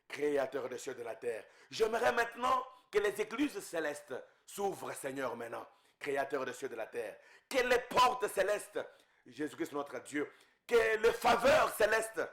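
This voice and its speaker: A man's voice: a little high, a bit bright. Fervent Congolese man praying aloud. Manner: fervent, repeating invocations, voice rising and falling in waves. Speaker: preacher. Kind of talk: intense spoken prayer.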